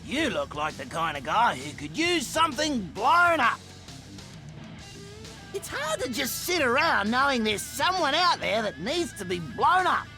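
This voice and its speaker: An actor playing an unhinged, gleeful Australian outlaw obsessed with explosives, in a manic, cackling video game voice. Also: male voice, extremely high pitch, very animated, a little slow, bright, forceful tone, soft background music, full character voice. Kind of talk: video game character voice acting.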